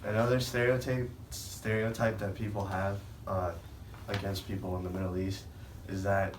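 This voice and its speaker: A man's voice: fairly low pitch, flat delivery, normal speed, slight background noise. A teenage boy from Iran speaking hesitantly on a student panel, with many fillers. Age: teens. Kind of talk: casual panel talk. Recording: echoey room, distant mic.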